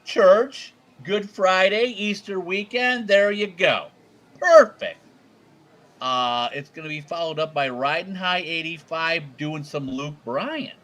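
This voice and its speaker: A man's voice: fairly high pitch, slow, a bit bright. A man co-hosting a live music video show in casual chat, jokey and self-deprecating, and he often stammers.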